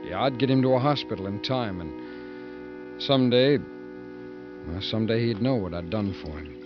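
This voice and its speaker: An actor with an American accent playing a fed-up, protective convict who also narrates a 1950s radio drama. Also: male voice, fairly low pitch, expressive, moderate pace, dull audio, music in the background, lightly acted. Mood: reflective narration. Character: fed-up convict, protective, defiant, guilt-ridden man. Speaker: protagonist and narrator. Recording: band-limited 1950s radio recording.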